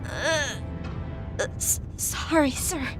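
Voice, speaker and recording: Very high, meek spirit girl. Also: animated, slow pace, over music. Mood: apologetic. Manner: stammering, meek and apologetic. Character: timid, deferential young girl spirit living in a mace. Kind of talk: audio drama acting.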